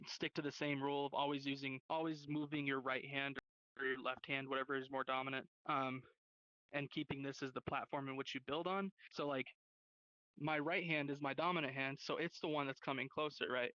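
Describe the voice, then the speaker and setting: A man's voice: medium pitch, little pitch movement, a little fast, pushed and bright, smooth, dry recording, lo-fi sound. A man teaching an informal sign language class in a casual, rambling, slangy way over online voice chat. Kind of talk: informal lesson. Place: online virtual class hub.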